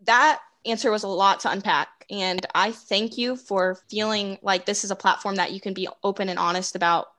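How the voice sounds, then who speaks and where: A woman's voice: mid-range voice, steady tone, moderate pace, few pauses, sharp tone. A woman interviewing a guest, asking questions in an encouraging, polished way.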